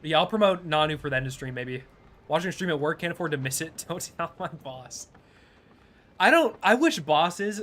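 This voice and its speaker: A young man streaming a game, chatting casually in a laid-back, chuckling way with a fairly high-pitched voice. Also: fairly bright tone. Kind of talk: casual live gameplay commentary.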